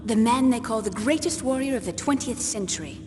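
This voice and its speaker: Poised, higher-voiced woman scientist, played by an actress. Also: expressive, brisk, natural voice. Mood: composed, assured. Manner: precise, composed, explanatory. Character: poised, clinical female military geneticist. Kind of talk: dramatic video game scientific briefing. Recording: long reverb, clear background noise.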